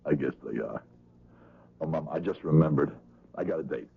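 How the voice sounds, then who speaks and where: A man's voice: a bass voice, moderate pace, gravelly, breathy edge, full character voice. An actor playing an insecure, brooding homely man with menace in him, in 1970s radio drama style. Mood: dutiful, uneasy. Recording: muffled, noisy old radio broadcast.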